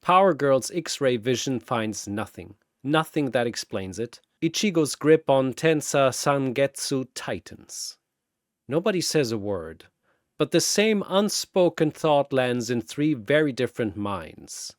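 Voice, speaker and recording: A man's voice: mid-range voice, animated, measured pace, no echo, clean studio sound. A man narrating a scripted battle story dramatically, in theatrical, short, punchy phrases.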